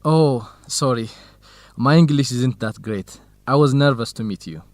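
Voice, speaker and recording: An actor with an Ethiopian accent playing a polite, modest research partner in an educational radio drama, speaking earnestly. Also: male voice, medium pitch, unhurried, a little mellow, dry recording, close to an everyday voice. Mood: modest, apologetic. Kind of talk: radio drama acting, measured educational dialogue.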